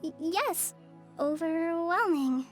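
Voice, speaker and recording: Shy, very high-voiced girl ranger. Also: lively intonation, takes it slowly, faint music behind, heavily acted. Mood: flustered, awkward. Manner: hesitant, stammering. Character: shy young female forest ranger, hesitant and polite. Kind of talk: video game character acting.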